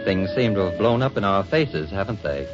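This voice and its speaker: An actor playing a suave, courtly, rueful gentleman in a 1940s radio drama. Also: deep voice, lively intonation, moderate pace, over music. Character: Mr. Deming, suave gentleman, rueful jilted suitor. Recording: dull vintage radio broadcast.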